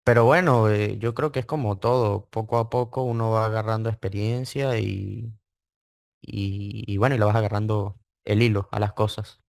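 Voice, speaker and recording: A man's voice: fairly low pitch, steady tone, normal speed, gravelly, no room sound, clean studio sound. A man, answering interview questions casually and hesitantly, repeating words. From Venezuela (Mérida). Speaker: interview guest, trading student.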